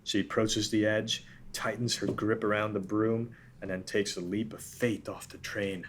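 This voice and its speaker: A man reads scripted narration and stage directions. His voice sits on the low side. There is faint noise behind him in the room. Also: level tone, normal speed.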